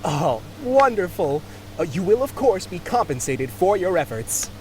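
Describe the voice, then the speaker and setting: A man's voice: sits high, sing-song, a little hiss or hum. Polite male clerk, video game: apologetic, busy, swinging intonation. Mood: harried, courteous. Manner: courteous, hurried, apologetic.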